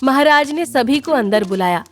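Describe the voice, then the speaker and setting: A woman's voice: high-pitched, expressive, moderate pace. A woman reading scripted storytelling narration for a cartoon tale.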